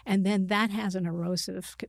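A woman's voice: mid-range voice, fairly even intonation, normal speed, vocal fry, no room sound, clean studio sound. A middle-aged woman answering as an interview guest, conversational and thoughtful, feeling her way through explanations with self-corrections. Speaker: interview guest, psychiatrist and author.

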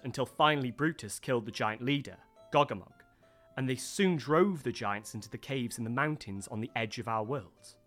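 An actor plays a storyteller in an audio play, recounting old legends. The voice is middling in pitch, measured and earnest, with faint plucked guitar behind it. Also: a bit bright. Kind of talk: dramatic storytelling.

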